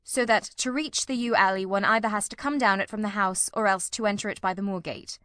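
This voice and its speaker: A woman's voice: medium pitch, brisk, fairly bright tone, no room sound, studio quality, natural voice. A woman voicing a keen, probing male detective in an audiobook, with a formal, incisive and inquisitive manner. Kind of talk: audiobook character reading.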